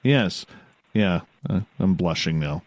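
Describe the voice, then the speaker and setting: A man's voice: deep voice, animated, slow, darkish tone, no room sound, studio quality. A middle-aged Canadian man hosting a late-night radio interview, easygoing and frank, joking about himself. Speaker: radio host.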